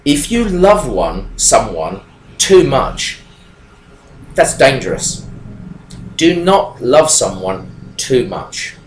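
Animated man teaching English, echoey room. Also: mid-range voice, slow pace, long pauses. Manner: animated, emphatic, gestures while talking. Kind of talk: explaining grammar to learners. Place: small room indoors with whiteboard. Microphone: webcam at desk. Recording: echoey room, faint background noise.

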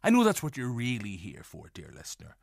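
A man with a Northern Irish accent hosting a podcast, introducing it in playful, deliberate asides to the listener. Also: medium pitch, wildly swooping pitch, gravelly, no echo, studio quality. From Northern Ireland. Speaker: podcast host. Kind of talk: scripted-sounding podcast introduction.

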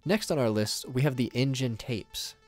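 A man's voice: medium pitch, moderate pace. A man giving scripted video commentary with wry, joking asides. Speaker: YouTube horror series commentator. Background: music bed.

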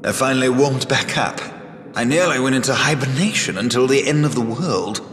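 A man's voice: mid-range voice, fairly quick, fairly bright tone, roomy sound, in a large hall, natural voice. A man voicing a cheeky, knowing talking frog, a cursed man, in a video game. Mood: dry.

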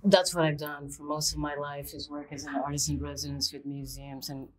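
A middle-aged woman, born in Colombia and raised in the United States, speaking earnestly as a guest on an art panel in a bright studio. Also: low-pitched, little pitch movement, a little fast, crowd noise, rough recording. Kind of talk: panel conversation.

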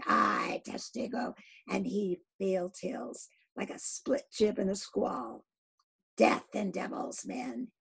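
A woman voicing an obsessed old one-legged sea captain who swings between hoarse whispers and loud shouts. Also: mid-range voice, exaggerated voice. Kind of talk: audiobook reading.

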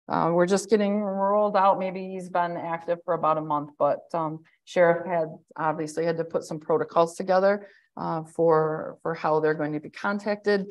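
A woman in her thirties speaks in a loud, slightly low-pitched voice. She gives an administrator's update to a county board from an office over a webcam call, in an easy, conversational way full of fillers and restarts. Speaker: county administrator giving a report. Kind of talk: spoken report at a board work session. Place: indoor office, joining a hybrid meeting. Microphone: webcam on a video call.

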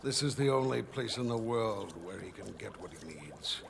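An actor playing a ruthless, imperious businessman villain in an animated series, with pompous, formal diction. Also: fairly low pitch, normal speed. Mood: smugly menacing. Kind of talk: dramatic animated series acting. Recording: clearly noisy, hissy background.

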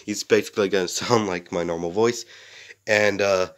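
A young man, sick and a bit rough-voiced, rambling casually to a close camera in a small bedroom, full of fillers and restarts. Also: lowish voice, fairly even intonation, moderate pace. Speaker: series creator.

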